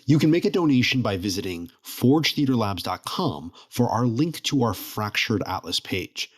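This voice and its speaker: A man's voice: mid-range voice, sing-song, moderate pace. A man reading a scripted promotional appeal as announcer for a theater company.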